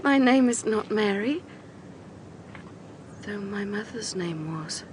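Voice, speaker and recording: A woman's voice: medium pitch, animated, takes it slowly, a little mellow, a little hiss or hum. An actress playing a haunted young woman in a period audio drama, with theatrical intensity. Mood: gentle, concerned. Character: gentle young woman possessed by dark force.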